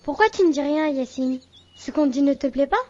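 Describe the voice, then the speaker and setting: Very high-pitched eager little schoolboy voiced by a woman. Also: brisk, darkish tone, highs cut off, close to an everyday voice. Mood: grumbling. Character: eager young schoolboy, follower of his friend. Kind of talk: children's educational cartoon dub.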